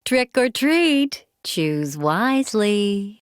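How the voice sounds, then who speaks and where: A woman's voice: medium pitch, sing-song, extremely slow, no echo. An actress voicing a mischievous, teasing young woman in a fantasy game. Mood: playful.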